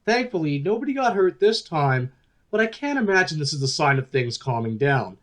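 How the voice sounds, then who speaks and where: A man's voice: a little high, sing-song, moderate pace, a bit bright. A man playing an upbeat, chatty news talk show host in a radio play, with an emphatic delivery. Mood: exasperated, emphatic.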